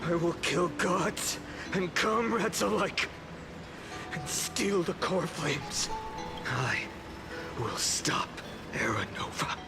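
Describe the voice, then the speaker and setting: Gravelly, weary doomed hero. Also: male voice, high-pitched, sing-song, exaggerated voice. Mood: grim resolve. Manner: slow, solemn, halting. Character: weary, burdened male hero burning himself away. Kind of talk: video game voice acting. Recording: game audio under loud music.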